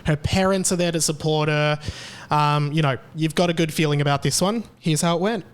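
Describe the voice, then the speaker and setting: A man's voice: on the high side, fairly quick, smooth. A young man hosting his own podcast, chatting casually with sarcasm and frequent laughter. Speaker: podcast host. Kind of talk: casual solo chat.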